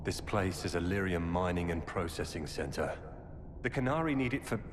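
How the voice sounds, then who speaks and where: Grave ex-knight defector, low voice, earnest and urgent, game dialogue, cavernous reverb, loud background noise. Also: male voice, expressive, normal speed. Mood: grave, weary. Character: weary earnest former knight turned defector, grimly warning. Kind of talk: dramatic video game dialogue.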